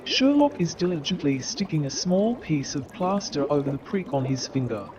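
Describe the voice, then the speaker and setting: Man narrator for a radio play, voice a bit high, crowd noise behind him. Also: big pitch swings, moderate pace, lo-fi sound. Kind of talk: scripted story narration for a staged radio play. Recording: crowd and watery sounds behind.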